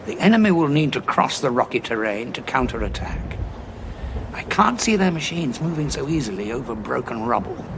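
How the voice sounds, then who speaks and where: An actor playing a weary male time traveller in an audio drama, probing and dry-witted, with a gravelly voice. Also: medium pitch, expressive, moderate pace, noisy background. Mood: resolute.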